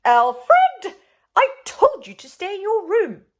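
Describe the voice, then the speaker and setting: A woman's voice: very high-pitched, huge pitch swings, slow pace, voice pushed up, full character voice. A woman voicing a proud queen in a children's storybook, emphatic, commanding and accusing. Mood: furious.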